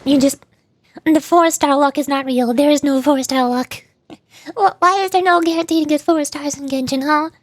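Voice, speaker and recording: A woman hosting a casual gaming livestream, chatting in an animated, playfully whiny way. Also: sits high, normal speed, no echo, clean studio sound. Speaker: VTuber livestream host.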